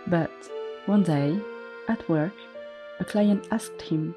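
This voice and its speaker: A woman narrating a story in a gentle, measured, scripted way. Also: fairly low pitch. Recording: faint music underneath.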